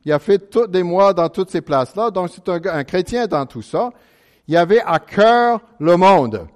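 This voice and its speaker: A man's voice: high voice, animated. Earnest American man preaching in French. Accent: American English. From United States, Chicago suburbs. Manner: earnest, halting with many restarts, prayerful. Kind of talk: sermon.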